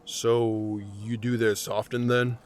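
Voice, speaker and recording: Hard-to-please fantasy adventurer male actor, mid-pitched, terse and challenging, interviewing recruits. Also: flat delivery, takes it slowly, lightly acted. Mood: dry, curt. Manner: curt, dry, challenging. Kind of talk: fantasy audio drama acting.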